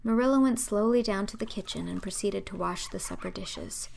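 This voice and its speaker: Woman narrator with a slightly creaky voice. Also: medium pitch, a little fast, darkish tone, a little hiss or hum. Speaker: audiobook narrator. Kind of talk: scripted storybook narration.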